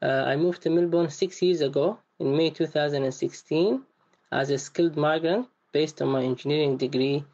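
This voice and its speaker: A man's voice: a little high, moderate pace, a little mellow, highs cut off. A man in his thirties from Somalia, an engineer, speaking in a plain, matter-of-fact way. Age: 30s. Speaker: interviewee, engineer.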